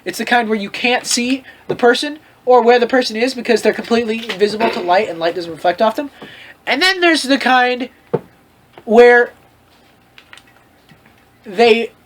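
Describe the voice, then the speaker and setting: A host reading fan fiction aloud for comedy, in an animated, mock-lecturing way with frequent asides. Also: mid-range voice. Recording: clear background noise with clicks.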